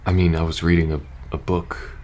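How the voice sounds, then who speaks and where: A man playing a weary, worried young soldier in an intimate ASMR roleplay, speaking softly and hesitantly. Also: low voice, level tone, slight background noise, natural voice. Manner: hesitant, halting, sighing between phrases. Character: weary, worried young male soldier in post-apocalyptic faction.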